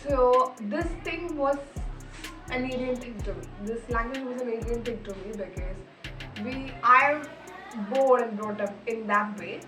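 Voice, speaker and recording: A woman's voice: a little high, a little slow. A young woman with an Indian English accent and Malayalam as her first language, teaching English in an earnest, repetitive way, her voice slightly cracky from a cold. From Kerala, India. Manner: earnest, repeats herself, apologetic. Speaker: English teacher, channel host. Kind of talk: instructional vlog talk. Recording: roomy, distant mic, music underneath.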